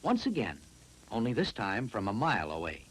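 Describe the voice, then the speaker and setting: A man reads scripted science narration for a classroom film, explaining in a deliberate, instructive way. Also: lowish voice, huge pitch swings, a little hiss or hum, low-quality recording.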